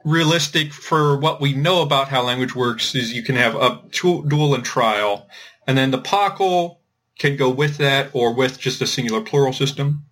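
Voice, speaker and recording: A man explaining things informally as a podcast host, thinking aloud in a halting way with repeated words. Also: mid-range voice, a bit bright. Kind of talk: informal explanatory discussion of linguistics.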